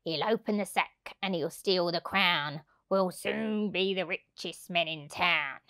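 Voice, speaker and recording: A woman's voice: on the low side, a little slow, sharp tone, clear, resonant tone, pitched below the performer's own voice. A woman voicing a gleeful, scheming male burglar from a children's storybook, with a conspiratorial manner. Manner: conspiratorial, relishing the plan.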